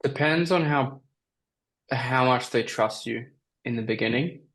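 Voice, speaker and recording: A man with a slightly raspy voice, coaching on a call and giving casual, emphatic advice as a sales coach. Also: medium pitch, measured pace, fairly bright tone. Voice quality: raspy from talking all day. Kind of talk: coaching call, answering questions.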